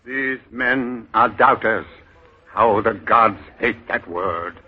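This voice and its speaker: An actor playing a cunning beggar leader who poses as a god in a 1930s radio drama, giving orders in a sly, deliberate way. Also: male voice, fairly low pitch, breathy edge. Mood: grandly deceptive. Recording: muffled old radio broadcast with music.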